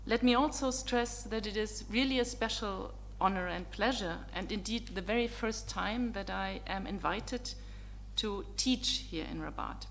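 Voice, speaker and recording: A middle-aged woman whose first language is German, a visiting professor giving a formal, courteous opening address to students, measured and dotted with ums and uhs. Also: medium pitch, fairly bright tone, a little hiss or hum.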